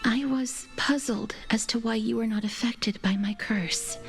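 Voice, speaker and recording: Cursed, sorrowful young maiden: slow, hushed, apologetic, fairly high voice. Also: female voice, fairly even intonation, music in the background. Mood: hushed, regretful. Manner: soft, whispery, slow and apologetic. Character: gentle, sorrowful young woman with a deathly curse.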